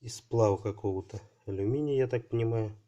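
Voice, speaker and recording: A man hosting a casual unboxing video, talking haltingly and thinking aloud while his voice is affected by a cold. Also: on the low side, mellow, dull audio. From Russia. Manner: halting, thinking aloud, many fillers like "so" and "there". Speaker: channel host unboxing parcels.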